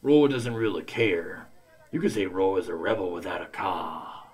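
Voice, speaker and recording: A man playing a cocky, deadpan oddball who speaks of himself in the third person, in a comedic audio drama. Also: low-pitched, moderate pace, breathy edge. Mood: nonchalant. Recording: noticeable background noise.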